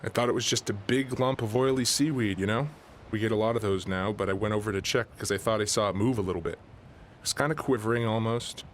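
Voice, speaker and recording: An actor playing a folksy small-town fisherman who rambles and corrects himself in a deadpan way. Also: on the low side, quick pace, slight background noise, close to an everyday voice. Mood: rambling. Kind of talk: radio drama interview.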